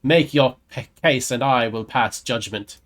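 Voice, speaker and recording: A man's voice: medium pitch, unhurried, a bit bright. A man streaming a game, mixing casual commentary with reading his character's dialogue aloud. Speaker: gaming streamer voicing his player character.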